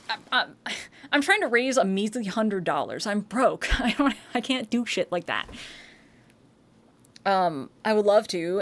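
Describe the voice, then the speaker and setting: An American woman chatting on her live stream in a loose, rambling way. She trips over her own starts, swears freely and breaks into laughter as she goes. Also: medium pitch. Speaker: streamer and voice actor. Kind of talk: casual live-stream chat.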